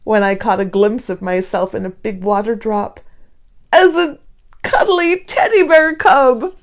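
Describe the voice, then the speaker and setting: A woman's voice: fairly high pitch, a little slow. A woman voicing a wide-eyed, imaginative young child telling a storybook adventure. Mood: amazed. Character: young child narrator full of wonder and imagination.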